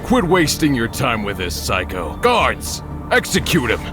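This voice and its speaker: An actor playing an arrogant, hot-tempered company boss, dismissive and commanding, in a video game cutscene. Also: male voice, medium pitch, wildly swooping pitch, unhurried, over music. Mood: angry.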